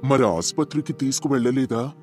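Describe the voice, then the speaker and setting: A man's voice: medium pitch, a little fast, faint music behind, lightly acted. A man playing a kind, hardworking village farmer in a storybook tale, earnest and reassuring. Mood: caring.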